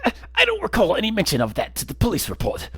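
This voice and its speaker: A man acts out a proud game inspector, defensive and easily affronted. The voice is pushed up high and swings about, full of self-importance. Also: huge pitch swings, fast, sharp tone, husky, full character voice. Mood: indignant.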